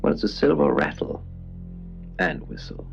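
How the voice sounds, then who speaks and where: Poe, deep creaky deadpan radio host. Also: male voice, moderate pace, highs cut off. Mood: deadpan, macabre. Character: Poe, eccentric male radio host and curiosity shop keeper. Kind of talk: late-night radio show banter. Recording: band-limited radio sound over music.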